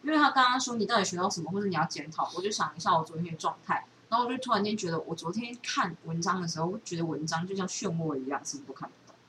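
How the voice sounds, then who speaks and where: Female, Taiwanese accent, casual co-host, rapid delivery, English code-switching, slightly off-mic. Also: lowish voice, sharp tone, far from the mic, slight background noise, low-quality recording. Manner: mixes English words into her talk. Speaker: podcast co-host. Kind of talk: casual chat.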